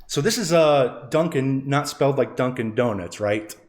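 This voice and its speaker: A man's voice: medium pitch, expressive, normal speed. A man co-hosting a podcast, friendly and laid-back, with many fillers. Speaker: podcast co-host. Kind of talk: casual podcast chat.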